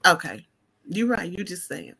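A woman's voice: lowish voice, lively intonation, moderate pace, creaky, bright, forceful tone, breathy edge. A woman hosting a podcast, chatty and laughing often in casual conversation. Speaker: podcast host. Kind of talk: casual chat.

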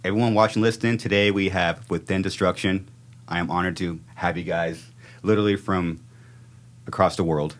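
Low-voiced enthusiastic podcast host man. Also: steady tone, brisk, soft background music. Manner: enthusiastic, slangy, repeats words. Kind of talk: casual interview chat.

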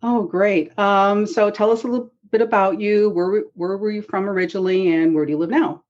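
Husky-voiced woman podcast host. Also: mid-range voice, steady tone, a little mellow, dull audio. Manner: measured, reads an intro then asks casual questions. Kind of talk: scripted introduction and interview questions.

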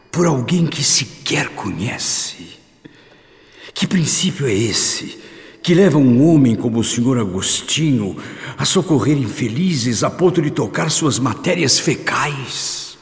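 Gravelly, tormented Brazilian father. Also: mid-range voice. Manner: anguished, brooding rhetorical questioning. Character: tormented, guilt-ridden father at his daughter's hospital bedside. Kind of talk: dramatic radio drama monologue. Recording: long reverb, music underneath. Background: music.

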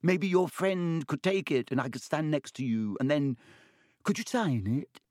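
An eager young boy talks in a high, breathless rush, tripping over his words as he gushes, as a man reads him in an audiobook. His voice sits well above a grown man's. The sound is close and dry. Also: lively intonation, moderate pace, no echo. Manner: breathless, stammering, gushing. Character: eager excitable young schoolboy, breathless fan. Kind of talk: audiobook character voice.

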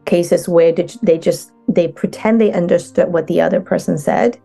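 A woman speaking as a guest coach in a casual interview, talking in halting bursts with many restarts. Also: lowish voice, fairly even intonation, gravelly, warm tone, faint music behind.

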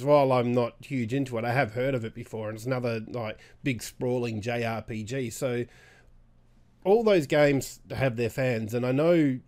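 A man co-hosting a weekly gaming show, a little raspy after being sick, chatting casually with lots of restarts. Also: mid-range voice, a little mellow. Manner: halting, many restarts and fillers. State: slightly raspy from recent illness. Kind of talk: casual chat.

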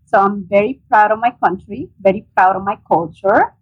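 Salvadoran accent lady in her 40s, husky voice, lots of ums, dull lo-fi call sound. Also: medium pitch, moderate pace. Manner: earnest, hesitant with frequent ums. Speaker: guest, nonprofit director. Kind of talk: interview answers.

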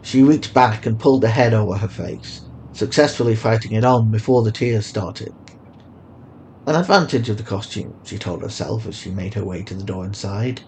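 A man's voice: lowish voice, moderate pace, gravelly, a little mellow, a little hiss or hum, rough recording. A man reading scripted fiction as a story narrator.

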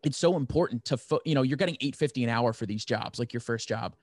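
A man's voice: medium pitch, fast talker, a little mellow, dry recording. A man in his twenties chatting casually as he seeks advice, rambling and earnest. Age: 20s. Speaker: podcast host, first-time writer seeking advice. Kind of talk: casual chat.

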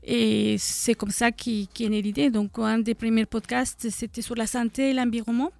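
A woman's voice: on the high side, flat delivery, normal speed, gravelly, a little mellow, no room sound. A middle-aged woman from Mexico with a Mexican Spanish accent, a guest in a live radio studio interview, talking hesitantly with many fillers. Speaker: guest, association co-founder.

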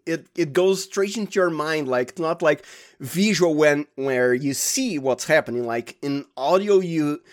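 Higher-voiced Brazilian man chatting. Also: lively intonation. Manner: enthusiastic, halting, searching for words. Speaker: interview guest, audio drama sound designer. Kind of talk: casual interview chat.

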